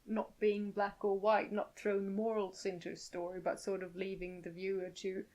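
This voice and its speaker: A woman's voice: medium pitch, little pitch movement, moderate pace, a little mellow. A woman co-hosting a casual gaming podcast discussion, speaking thoughtfully with frequent hedges and agreeing murmurs. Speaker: podcast co-host.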